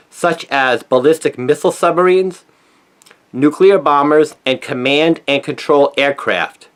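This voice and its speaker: A man's voice: on the high side, fairly bright tone. A man narrates a news story, reading from a written script in a scripted style. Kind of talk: scripted narration, read aloud.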